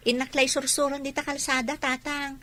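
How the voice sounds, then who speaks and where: A woman's voice: high-pitched, little pitch movement, normal speed, sharp tone. A woman playing a dutiful, respectful young village daughter in an Ilocano radio drama, with an Ilocano accent.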